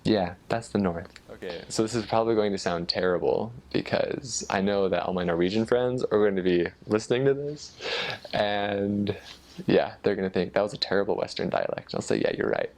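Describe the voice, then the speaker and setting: American male, deep register, informal explainer, somewhat halting delivery as he thinks aloud. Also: animated, darkish tone. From United States. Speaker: former missionary explaining Norwegian dialects. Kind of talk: casual explanatory talk.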